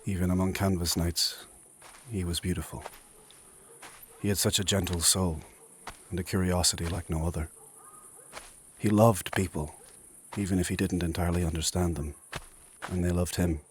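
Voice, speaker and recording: A man playing an ancient, proud and solemn warrior in a dark fantasy audio drama, speaking formally and gravely. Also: fairly even intonation, normal speed, pauses often, slight background noise, natural voice. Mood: wistful, grave. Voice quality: husky, very deep.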